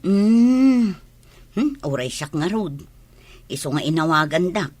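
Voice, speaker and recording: A woman's voice: lowish voice, very animated, takes it slowly, a little mellow, heavily acted. A woman with an Ilocano Filipino accent playing a casual, chatty village acquaintance in a radio drama.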